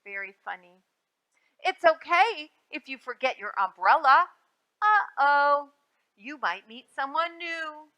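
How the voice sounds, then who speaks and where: A woman with a high, bright voice leads a children's storytime. She reads slowly in a sing-song cadence, stretching key phrases and addressing her young listeners directly in simple words. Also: lively intonation, highs cut off. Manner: sing-song, exaggerated emphasis with drawn-out phrases. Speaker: children's librarian and storytime host.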